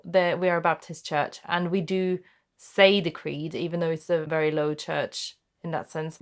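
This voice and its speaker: A woman from Norway, a native Norwegian speaker, talking thoughtfully and personally in a conversation. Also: deep voice. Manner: thoughtful, personal, frequent self-corrections. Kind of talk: conversational discussion.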